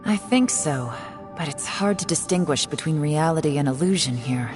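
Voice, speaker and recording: A woman voicing a secretive, composed young woman agent in a video game, speaking in a measured, guarded way with heavy sighs. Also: low-pitched, expressive, music in the background. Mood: grave.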